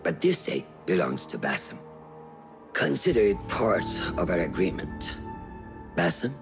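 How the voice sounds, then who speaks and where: Deep, gravelly mentor of assassins. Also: male voice, very animated, over music, exaggerated voice. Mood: firm. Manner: measured, solemn, formal, instructing. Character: grave older male mentor of assassins, patient and commanding. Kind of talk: video game cutscene acting.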